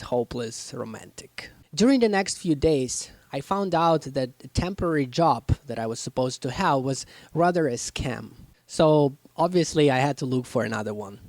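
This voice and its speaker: A man's voice: medium pitch, darkish tone, dry recording. A young man from Ukraine, speaking with a Ukrainian accent, earnestly narrating his own life story as a channel host. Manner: earnest, reflective, grateful.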